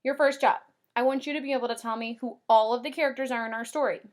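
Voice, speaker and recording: A woman kindergarten teacher doing an expressive read-aloud for young children, with drawn-out emphasis and simple explanations. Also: fairly high pitch. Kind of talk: animated children's read-aloud with comprehension questions.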